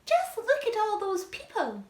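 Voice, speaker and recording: Woman putting on a shrill, spiteful storybook aunt. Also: wildly swooping pitch, a little slow, reverberant, exaggerated voice. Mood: gloating. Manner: exclamatory, gloating, threatening. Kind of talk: children's storybook character voice.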